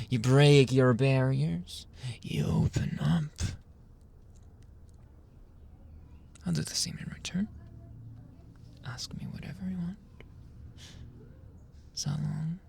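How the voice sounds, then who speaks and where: Man acting out a husky, whispering, seductive mafia boss. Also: medium pitch, darkish tone, exaggerated voice. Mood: coaxing, seductive. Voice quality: breathy, husky, often dropping into whisper.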